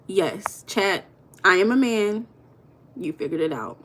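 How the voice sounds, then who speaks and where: An American woman from Los Angeles hosts a live stream and chats casually with her viewers. She teases and banters in loose slang. Her voice sits at an ordinary middle pitch. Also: expressive, takes it slowly, fairly bright tone, a little hiss or hum. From Los Angeles, USA. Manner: playful, slangy, teasing banter with chat. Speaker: livestream host. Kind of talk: casual live chat reading viewer comments.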